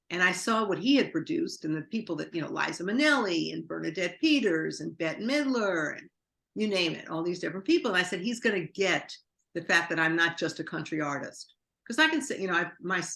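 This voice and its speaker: Lower-voiced woman from new york, rambling chatty stories, acts out what people said. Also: a bit bright. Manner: chatty, rambling anecdotes with quoted dialogue. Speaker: guest. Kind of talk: interview storytelling.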